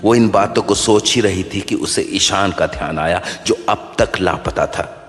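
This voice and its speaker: A man narrating a scripted horror story as a measured storyteller. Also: low-pitched, soft background music. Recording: long studio reverb.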